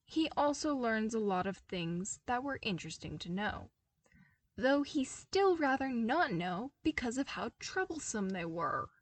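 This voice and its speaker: A woman reading a fan-fiction story aloud, with measured, even storytelling narration. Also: fairly high pitch, dry recording. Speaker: podfic reader. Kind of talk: scripted narration.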